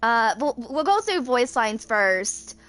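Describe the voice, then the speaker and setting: Female game streamer, twenties, higher-pitched voice, casual and rambling, thinking out loud as she plays. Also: very animated, slow, no room sound. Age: 20s. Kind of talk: casual rambling gameplay commentary.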